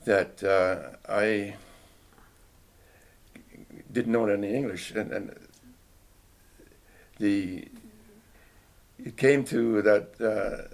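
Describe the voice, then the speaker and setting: An older man whose first language is Finnish, with a low, gravelly voice. He answers an interviewer slowly and thoughtfully, often restarting sentences and clearing his throat as he searches his memory. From Canada. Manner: hesitant, reflective, frequent restarts and throat clearing. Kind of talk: oral history interview.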